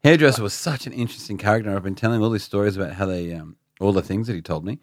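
A man's voice: on the low side, rapid-fire, dry recording, studio quality. A man with an Australian accent co-hosting a podcast in casual chat, joking and self-mocking. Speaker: podcast co-host.

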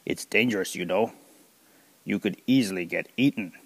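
A man voicing a big, sly male crocodile leader who talks loud and bossy. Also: on the low side, normal speed, husky, long reverb tail.

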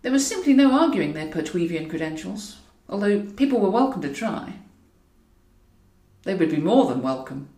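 A woman's voice: medium pitch, very animated, moderate pace, warm tone. Woman narrating, roomy and distant. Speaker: author reading own audiobook. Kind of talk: scripted fiction narration. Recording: roomy echo, distant from mic.

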